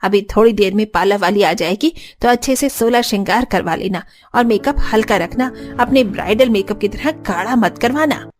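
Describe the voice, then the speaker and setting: A woman's voice: mid-range voice, moderate pace, hardly any pauses, soft background music, lightly acted. A woman playing a boastful, condescending rich middle-aged mother-in-law in a cartoon story. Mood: bossy.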